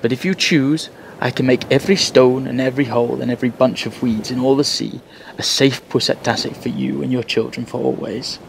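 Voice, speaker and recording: A man's voice: medium pitch, fairly even intonation, fairly quick, creaky, slight background noise, lightly acted. A man voicing an ancient magician who speaks in solemn, commanding pronouncements.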